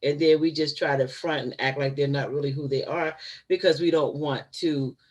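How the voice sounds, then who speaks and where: A woman's voice: sits low, fairly even intonation, moderate pace, darkish tone. A woman in her fifties hosting a casual livestream from home, chatty and given to laughing asides to her viewers. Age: 50s. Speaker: channel host.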